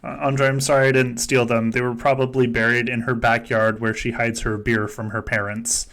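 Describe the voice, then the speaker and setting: A man's voice: mid-range voice, little pitch movement, normal speed, a bit bright. Wry man hosting a casual game stream. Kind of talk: casual joking chat.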